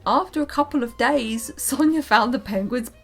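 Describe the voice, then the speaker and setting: A woman's voice: fairly high pitch, normal speed, vocal fry, soft background music. A young British woman reading her own childhood story aloud with casual, playful, self-mocking commentary. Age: young adult. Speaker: vlogger.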